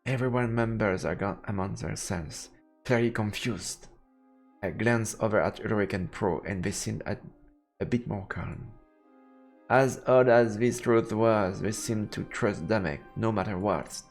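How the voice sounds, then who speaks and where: A man's voice: on the low side, a little slow. A man streaming a visual novel, reading the game's narration aloud. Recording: game music behind the voice. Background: game music.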